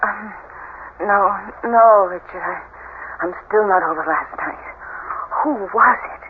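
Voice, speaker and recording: An actress playing an anxious, easily frightened new bride in a radio drama, halting and pleading. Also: female voice, mid-range voice, close to an everyday voice. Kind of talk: 1970s radio drama acting. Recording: tinny, noisy old radio recording.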